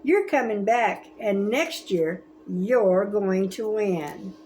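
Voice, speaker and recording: A woman's voice: medium pitch, takes it slowly, darkish tone, slight background noise, lightly acted. A woman in her seventies voicing a cheerful young man who is an encouraging older brother, in a friendly, upbeat way. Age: 70s.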